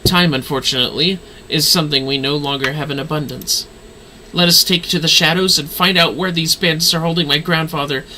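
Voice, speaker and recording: A man voicing an earnest, stern young astrologian mentor, reading fantasy game dialogue aloud in a formal, measured, instructive way. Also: fairly high pitch, steady tone, bright, forceful tone, rough recording. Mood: earnest, solemn. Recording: faint background noise.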